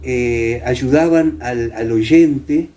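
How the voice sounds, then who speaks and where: A man's voice: mid-range voice, very slow, dark tone, slight background noise. An Argentine man, middle-aged or older, explaining and reminiscing in an interview with hesitant phrasing and frequent tag questions. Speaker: interviewee, former radio actor.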